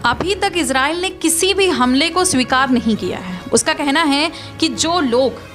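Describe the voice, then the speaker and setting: A woman's voice: high voice, expressive, normal speed, a bit bright. A woman presenting a news explainer as scripted narration. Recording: music behind the voice.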